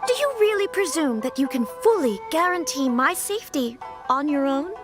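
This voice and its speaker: High-voiced, refined young noblewoman. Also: big pitch swings, moderate pace, over music. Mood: stern, commanding. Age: young adult. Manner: formal, polite, composed. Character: refined, resolute young noblewoman, commissioner's daughter. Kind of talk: video game voice acting.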